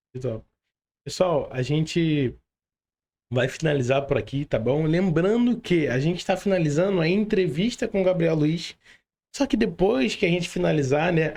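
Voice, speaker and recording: High-pitched creaky Brazilian man hosting a podcast. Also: darkish tone. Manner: informal, slangy, repeats tag questions. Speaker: podcast host. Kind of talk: conversational online interview.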